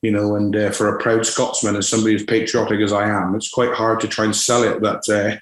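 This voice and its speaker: A man's voice: lowish voice, level tone, fast, echoey room. Scottish-born man with a West Country accent. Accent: West Country English. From Scotland. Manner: frank, reflective, many restarts and fillers. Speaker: football manager guest. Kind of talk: candid interview.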